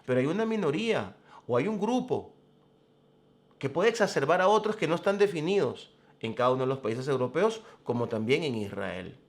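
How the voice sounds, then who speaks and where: A man hosting a programme, giving commentary in a deliberate, emphatic, explanatory way. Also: on the high side, very animated. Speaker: host of a religious current-affairs programme. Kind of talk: spoken commentary to camera.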